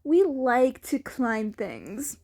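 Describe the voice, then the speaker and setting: A woman's voice: sits high, very animated, drawn-out words, vocal fry, dark tone, full character voice. A woman voicing an innocent, eager teenage boy training as a hero in a story reading. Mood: casual, eager.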